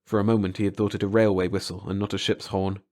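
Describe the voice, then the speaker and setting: A man's voice: deep voice, level tone, quick pace, darkish tone. A man reading a story aloud as an audiobook narrator, in a dramatic scripted style.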